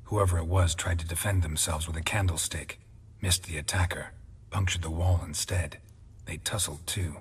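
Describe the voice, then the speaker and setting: A grizzled fantasy game monster hunter, with a low, extremely husky, gravelly voice. He speaks in terse, clipped phrases with a dry, deadpan calm that never rises. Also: normal speed. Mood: terse, probing. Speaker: player character in a fantasy role-playing game. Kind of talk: video game voice acting.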